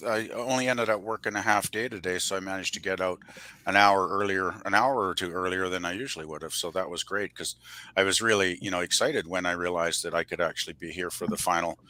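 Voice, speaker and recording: A man on a casual livestream panel who talks in a halting, rambling way, often saying "you know". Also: sits low, pushed and bright, no echo.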